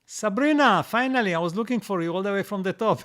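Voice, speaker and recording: A man hosting a live stream, reading out viewer comments and answering them in a halting way, with many restarts and repeated words. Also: sits high, fairly bright tone. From Israel. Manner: reads out comments, then answers.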